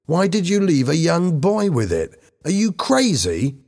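A man's voice: mid-range voice, big pitch swings, moderate pace, a little mellow, breathy edge. A man voicing an irritable, accusing teenage boy in a story, curt and demanding. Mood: angry.

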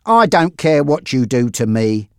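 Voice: medium pitch, slow pace, smooth, no echo, studio quality, voice pushed up. A narrator voicing a brave, defiant young man under threat in an audiobook story, with a strained, stubborn manner.

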